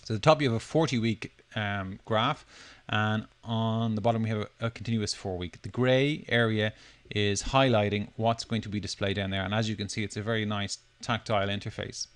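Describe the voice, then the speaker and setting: A man presenting a software demo, talking through it step by step in a loosely unscripted, explanatory way. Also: fairly low pitch, fairly even intonation, a little fast, smooth. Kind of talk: step-by-step screencast tutorial narration.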